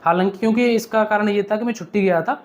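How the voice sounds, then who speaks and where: A man's voice: sits high, quick pace. A young man, speaking informally and earnestly to viewers like a mentor, repeating points and often checking they follow. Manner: earnest, repetitive, uses frequent check-in tags. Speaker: exam-update video creator and mentor. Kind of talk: informal advice vlog to viewers.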